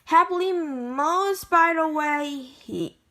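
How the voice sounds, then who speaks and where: High-pitched woman reading slowly and haltingly. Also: pushed and bright. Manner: halting, stumbling word-by-word reading. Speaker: amateur story reader. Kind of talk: children's picture book read-aloud.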